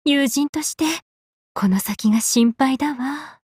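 A woman's voice: high-pitched, a little slow, breathy edge, dry recording, studio quality, full character voice. A woman voicing a tall, affectionate big-sisterly sun goddess in an anime game, swinging between bubbly and tender. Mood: gentle, pensive. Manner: swings between excited exclamations and gentle, tender speech. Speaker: game character. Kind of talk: Japanese anime game voice acting.

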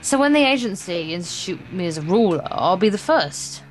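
A woman playing an excitable, ambitious schoolgirl in a visual novel, gushing and earnest. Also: on the low side, brisk, soft background music, natural voice, rough recording. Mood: earnest, insistent. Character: excitable, ambitious schoolgirl dreaming of world rule.

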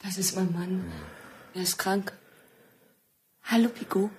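A woman's voice: mid-range voice, fairly even intonation, takes it slowly, darkish tone, slight background noise. A woman playing a cool, suspicious, hard-bargaining wife in a radio play, curt and matter-of-fact. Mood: cool, appraising.

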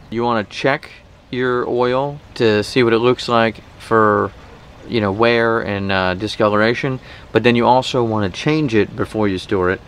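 A man in his thirties hosting a vlog, chatting informally to camera in a casual, animated explainer style outdoors. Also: lowish voice, clean tone. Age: 30s. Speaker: boating vlog host. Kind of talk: casual sponsored talk to camera. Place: outdoors in a boat cockpit at a marina. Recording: faint outdoor marina background noise.

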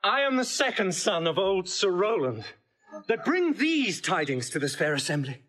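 Young nobleman, high voice, swooping intonation, earnest, declamatory Shakespearean verse. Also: very animated, moderate pace, a bit bright. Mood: earnest, declaring. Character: earnest young nobleman bringing joyful tidings. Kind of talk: classical Shakespearean stage verse.

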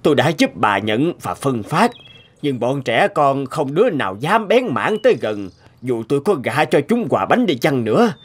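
A man's voice: medium pitch, very animated, moderate pace, husky. A man playing a stern, self-important old scientist in a cartoon, brusque and pompous. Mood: defensive. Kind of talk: animated cartoon dialogue. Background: music.

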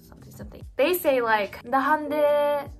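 Giggly high-voiced young woman vlogging. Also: normal speed, faint music behind. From Japan. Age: young adult. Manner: animated, giggly, lots of "like" and "you know". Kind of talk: casual chat to camera. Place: small bedroom indoors.

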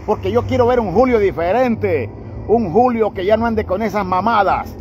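A man's voice: high-pitched, moderate pace, a little mellow, muffled recording, faint music behind. A Honduran man who hosts a charity channel, bluntly lecturing someone on a night street, filmed on a phone. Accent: Honduran Spanish. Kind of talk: scolding street talk. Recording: noisy night street recording.

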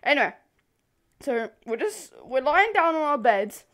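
A young woman telling a story casually to camera in an animated, rambling, giggly way, easily sidetracked. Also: sits high, measured pace. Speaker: video host. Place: bedroom.

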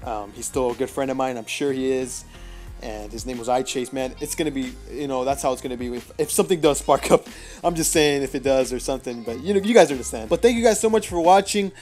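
A nineteen-year-old man from Mexico doing casual, rambling voice-over commentary on his mobile gameplay, excitable and full of jokes. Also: mid-range voice, fast, faint music behind. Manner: excitable, joking, rambling with lots of fillers. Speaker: mobile gaming YouTuber. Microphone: voice-over recorded apart from the gameplay.

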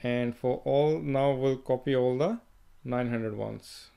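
Man talking through a software demo. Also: mid-range voice, gravelly, clean tone. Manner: unhurried, thinks aloud with fillers and restarts. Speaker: software trainer. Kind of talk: screen-recorded tutorial walkthrough.

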